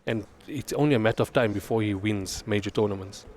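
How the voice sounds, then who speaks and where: A man with a low voice talks enthusiastically about a player's progress. He sits somewhat back from the microphone, and faint noise runs behind him. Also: fast talker, a little mellow. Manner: enthusiastic, repeats words. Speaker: panel analyst. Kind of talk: post-match opinion.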